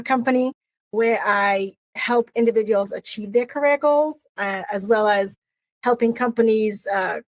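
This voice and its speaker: A woman's voice: mid-range voice, dry recording. Earnest middle-aged Trinidadian woman, podcast guest, close dry sound, often restarts her sentences. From Trinidad and Tobago. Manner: earnest, with frequent fillers and restarts. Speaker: guest, career coach. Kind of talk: interview conversation.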